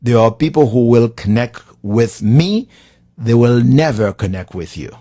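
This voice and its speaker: A man from Haiti with a Haitian accent, giving a live-streamed motivational talk to viewers, building his lines with slow, preacherly emphasis. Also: mid-range voice, expressive, warm tone, no echo, clean studio sound. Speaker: motivational speaker.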